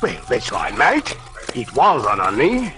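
An actor playing a cagey, easygoing tattoo artist with salty sailor talk in a 1940s radio drama. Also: male voice, medium pitch, huge pitch swings, unhurried. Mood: cagey, unhurried. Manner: salty nautical slang, calls people mate. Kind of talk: 1940s radio character acting.

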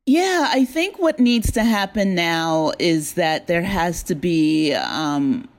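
A woman's voice: on the low side, very animated, slow pace. Woman chatting casually in an interview. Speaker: audio drama creator being interviewed.

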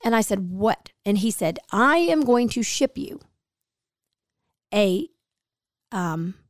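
A middle-aged woman hosting a podcast, a chatty storyteller who acts out the people in her stories, with a slightly gravelly, hoarse voice. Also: medium pitch, expressive, slow pace, no echo, studio quality. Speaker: podcast host. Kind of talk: casual chat.

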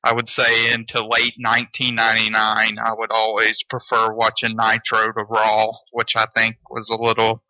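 A man's voice: fairly low pitch, monotone, normal speed, hardly any pauses, pushed and bright, dry recording, low-quality recording. A man in his mid twenties from Georgia in the US, co-hosting a casual podcast in a matter-of-fact way. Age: 20s. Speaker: podcast co-host.

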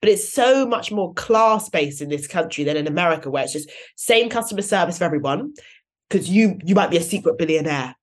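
A woman hosting a casual conversation, telling stories in a lively way, laughing often and acting out what others said. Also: medium pitch. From West London. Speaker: guest host.

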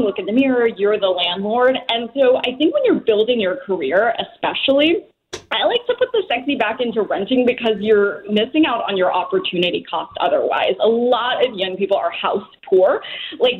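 A woman speaking as a personal finance expert guest on a talk show, quick and chatty, full of "like" and "you know" fillers. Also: a little high, phone-quality sound. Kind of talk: casual talk show chat.

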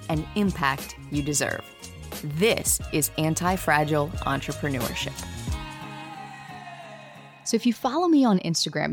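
A woman in her thirties hosting a podcast, telling a personal story in an animated way and acting out the people she quotes. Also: lowish voice. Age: 30s. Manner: animated, acts out quoted dialogue. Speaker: podcast host.

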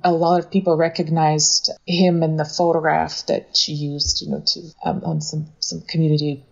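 A woman's voice: low-pitched, level tone, moderate pace, gravelly. A middle-aged woman who grew up in Russia, speaking as a photographer guest in an interview, thoughtful with light laughs and fillers. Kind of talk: conversational interview. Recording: dull lo-fi audio.

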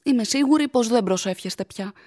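A woman's voice: on the high side, warm tone, no echo, studio quality, natural voice. A woman playing a pious, anxious young fiancée in a radio drama, speaking earnestly and formally with tender reproach. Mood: worried, grave.

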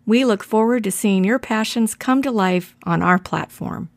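A woman's voice: medium pitch, moderate pace. A woman reading a scripted promotional spot in an upbeat sales tone. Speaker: ad announcer. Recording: dry with faint music behind.

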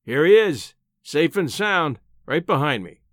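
A man voicing an overbearing, bullish professor husband in an audiobook, rumbling out commands in a mocking, sardonic way. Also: very animated, unhurried, dry recording, clean studio sound. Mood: brusque, mocking. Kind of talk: audiobook character acting.

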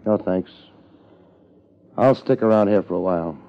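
An actor playing a tough, unflappable American private investigator with a terse, hard-boiled manner in a 1950s radio drama, on a muffled, noisy old recording. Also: male voice, sits low, moderate pace. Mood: wry. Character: tough, unflappable male private investigator narrating his case.

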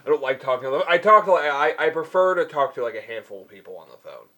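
A man's voice: on the high side, sing-song, fast, pushed and bright. A young man co-hosting a podcast in crude casual banter, swearing and breaking into shrieking laughter. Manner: profane, giggly, shrieking laughter. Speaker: podcast co-host.

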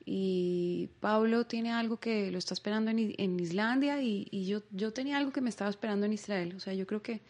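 A woman with a Bogotá Colombian accent talks as a guest in a calm, reflective interview. She picks her words with care and often stops on small fillers as she works out her thoughts. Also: medium pitch, fairly quick, few pauses. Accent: Bogotá Colombian Spanish.

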